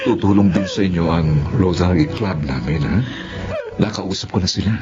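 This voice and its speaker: A man's voice: low voice, moderate pace, dull audio, music in the background, close to an everyday voice. A man playing a jovial, kind former classmate in a radio drama.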